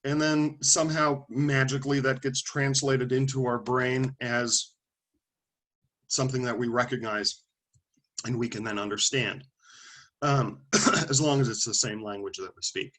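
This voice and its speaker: A man teaching a class in a loose, conversational way, asking questions and calling on students. His voice sits at an ordinary middle pitch, and he thinks aloud with restarts and small asides. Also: steady tone, measured pace, a bit bright. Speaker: lecturer.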